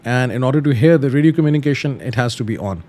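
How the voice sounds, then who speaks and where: A man's voice: medium pitch, lively intonation, quick pace, warm tone. A man hosting a tutorial, explaining things casually step by step and repeating simple instructions. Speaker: host of flight simulator tutorials.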